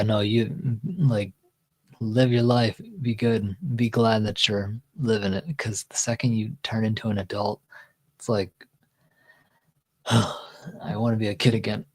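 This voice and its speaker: A man's voice: fairly low pitch, flat delivery, a little slow, a little mellow. Texan man chatting with stream viewers. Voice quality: chest voice. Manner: reads chat comments aloud and answers them, rambling with restarts. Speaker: livestream host. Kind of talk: casual chat with viewers.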